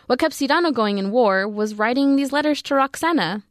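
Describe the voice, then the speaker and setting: A woman's voice: high voice, expressive, a little fast, dry recording, clean studio sound. A woman in her twenties, a student giving a prepared book review. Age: 20s.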